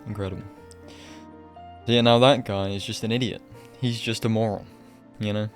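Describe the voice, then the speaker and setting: A man's voice: lowish voice, steady tone, normal speed, soft background music. A man giving casual live game commentary as a streamer, offhand and sardonic, swearing now and then.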